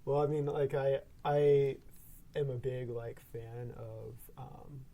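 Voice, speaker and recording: Guy guest chatting, relaxed gravelly voice, kinda halting, faint noise behind. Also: medium pitch. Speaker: guest sound designer. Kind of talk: casual discussion. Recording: faint background noise and room ambience.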